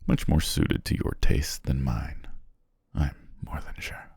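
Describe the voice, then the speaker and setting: A man playing a courteous, self-amused demon king in an ASMR roleplay, speaking in a genteel, formal way with a husky, breathy voice. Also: slow, no echo, studio quality, exaggerated voice. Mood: playful, gracious. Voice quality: very deep, husky, gravelly, often breathy near whisper. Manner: leisurely, genteel, archly formal with soft chuckles.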